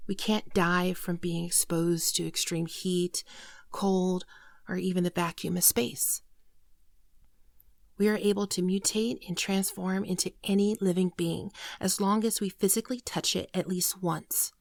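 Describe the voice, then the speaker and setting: Girl doing a sad gentle alien runaway for asmr, soft n near whispery, bit of fry, super dry close sound. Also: fairly low pitch, fairly even intonation, no room sound. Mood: solemn, explaining. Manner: earnest, halting, confessional. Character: gentle female shapeshifter alien, wounded fugitive, sorrowful. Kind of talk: ASMR roleplay monologue, scripted.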